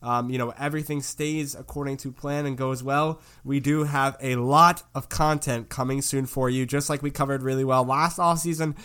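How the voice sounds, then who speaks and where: A young man with a stuffed-up nose from a cold hosts a casual sports podcast. His voice stays smooth and resonant despite the congestion, and he rambles along with plenty of ums and you-knows. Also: medium pitch, fairly even intonation, moderate pace, few pauses, a bit bright. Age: young adult. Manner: rambling, filler-heavy. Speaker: podcast host.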